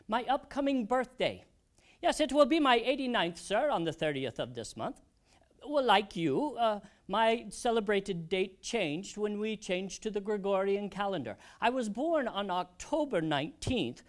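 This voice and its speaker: An actor playing an elderly American statesman in a theatrical historical monologue, proud and wistful, with deliberate, old-fashioned diction and reflective pauses. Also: fairly high pitch. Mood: cheerful.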